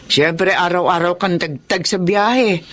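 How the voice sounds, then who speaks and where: A woman's voice: on the low side, measured pace, fairly bright tone, a little hiss or hum. An actress playing a worried, motherly parent of grown children in a Filipino radio drama. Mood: concerned. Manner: motherly, concerned.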